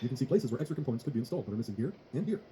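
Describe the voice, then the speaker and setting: A man's voice: medium pitch, sing-song, rapid-fire, crowd noise. A man narrating a scripted technical tutorial in a formal, methodical way. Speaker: tutorial presenter.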